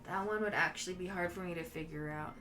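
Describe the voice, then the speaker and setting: A woman whose first language is Mandarin, chatting casually with viewers while she plays a game as a streamer, in a relaxed and musing way. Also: fairly low pitch, quick pace. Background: game music.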